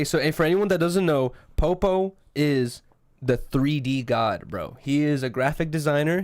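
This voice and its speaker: A man's voice: medium pitch, no echo. A young man from Russia, co-hosting a casual podcast, rambling with lots of fillers and swearing. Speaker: podcast co-host.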